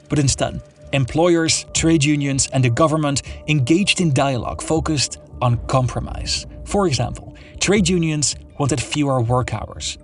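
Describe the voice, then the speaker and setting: A man reading scripted explainer narration in an even, informative way. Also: mid-range voice. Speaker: documentary narrator. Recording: narration over faint background music.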